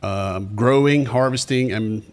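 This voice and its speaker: Low-voiced Southern man presenting informally. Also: unhurried. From Tennessee, USA. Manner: conversational, explanatory. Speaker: podcast host. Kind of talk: informal presentation to a live audience. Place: cafe, live event.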